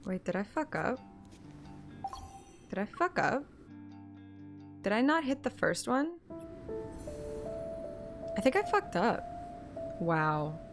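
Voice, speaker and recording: A woman's voice: on the high side, sing-song, slow pace, long pauses. A woman streamer with a casual, giggly, self-deprecating manner who swears and reads a game story aloud. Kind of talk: casual chat and dramatic story read-aloud. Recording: loud music behind. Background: music.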